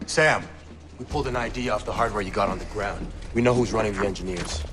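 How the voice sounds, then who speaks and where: A fairly low-voiced actor as a male intelligence operative in a game cinematic. He is confident, firm and matter-of-fact, with music behind him. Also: fast, lightly acted. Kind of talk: cinematic video game acting.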